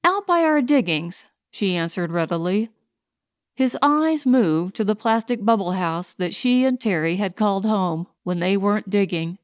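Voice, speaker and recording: A woman's voice: mid-range voice, expressive, moderate pace, clear, resonant tone, dry recording, clean studio sound. A woman reading scripted audiobook narration of a pulp science fiction story.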